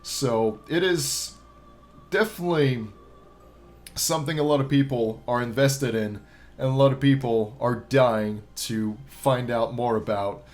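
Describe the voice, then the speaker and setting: A man's voice: mid-range voice, measured pace. A man hosting a ranking video with casual, unscripted review commentary, repeating himself for emphasis in a hesitant way. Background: background music.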